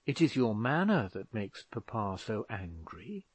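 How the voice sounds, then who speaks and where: Man playing a peacemaking daughter, soft and pleading, slow. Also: lowish voice, sing-song, no echo. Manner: soft, pleading, at times whispering. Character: anxious young woman, a pleading peacemaking daughter.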